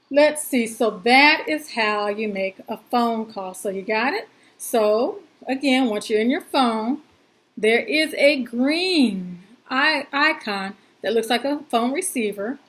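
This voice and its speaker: Higher-voiced woman giving a folksy phone tutorial. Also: low-quality recording. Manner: chatty, folksy, reassuring plain talk. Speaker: tutorial presenter. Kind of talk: informal step-by-step phone tutorial.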